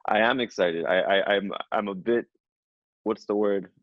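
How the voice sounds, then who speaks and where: A man in his thirties, a spiritual coach, giving a slow, soothing reading and then chatting casually with his client. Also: lowish voice, no echo. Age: 30s. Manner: slow, soothing, affirming delivery. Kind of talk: guided channeled reading, then casual chat. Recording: dull lo-fi sound.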